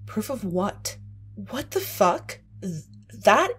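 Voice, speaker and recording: An actress playing a defiant, outraged young college woman with a husky voice in a horror audio story. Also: medium pitch, very animated, slow, a bit bright, a little hiss or hum.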